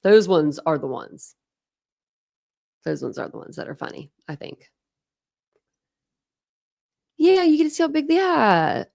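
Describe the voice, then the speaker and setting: A woman's voice: medium pitch, huge pitch swings, measured pace, gravelly, long pauses, a little mellow. A woman with a Midwestern accent, chatting casually with her viewers as a livestream host, rambling and saying "like" a lot. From Ohio. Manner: rambling, heavy use of "like", restarts.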